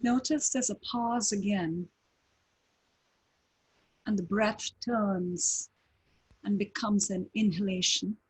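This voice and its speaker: Female meditation guide, airy breathy tone, unhurried delivery with long pauses. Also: mid-range voice, rough recording. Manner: slow, calm, gentle instructions with long pauses. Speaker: meditation teacher.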